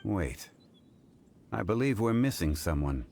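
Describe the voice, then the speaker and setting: An actor playing a calm, scholarly gentleman investigator in a video game, speaking formally and with measured composure. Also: fairly low pitch, vocal fry, full character voice. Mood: calm, attentive. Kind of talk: video game character acting. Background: game music.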